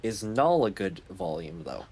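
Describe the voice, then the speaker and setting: A man's voice: low voice, big pitch swings, measured pace, a little mellow. A man streaming a factory-building game, chatting casually and muttering to himself while he adjusts his audio settings. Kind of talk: casual gaming chat.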